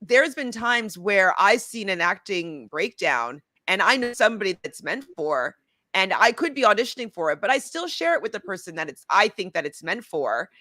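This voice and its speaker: A woman's voice: mid-range voice, very animated, normal speed, sharp tone, no room sound. A woman coach chatting casually and earnestly about acting. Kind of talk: casual conversational chat.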